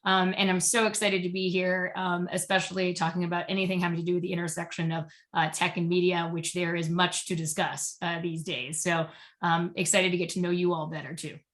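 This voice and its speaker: A woman's voice: lowish voice, level tone, a little fast, a bit bright. A woman from Puerto Rico raised in Georgia, introducing herself on an online panel, upbeat with many ums. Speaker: panelist, consultant.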